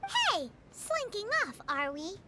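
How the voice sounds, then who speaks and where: A woman voicing a tiny, chattery, cheeky fairy companion with a squeaky childlike voice in a game. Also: huge pitch swings, slow, noisy background, exaggerated voice. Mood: suspicious. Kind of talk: video game voice acting.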